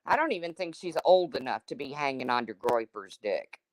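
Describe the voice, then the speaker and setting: A woman with a deep, husky smoker's voice hosting a livestream, reading chat comments and answering them in a blunt, chatty way. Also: expressive, fairly quick, no echo. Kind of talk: casual chat with viewers.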